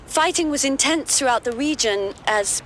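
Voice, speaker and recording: A woman's voice: sits high, expressive, moderate pace, fairly bright tone. A woman playing a TV news anchor, reading formal news bulletins in a game cutscene, with noise behind her. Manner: crisp, formal news delivery. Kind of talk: scripted TV newscast. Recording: noisy background behind voice.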